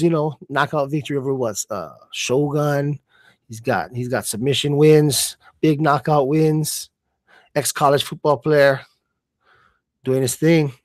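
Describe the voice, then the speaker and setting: A man speaks as a fight co-commentator with a slightly high-pitched voice and a very dry, close sound. His delivery is casual, like offhand sports chat mixed with reading out stats. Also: no room sound.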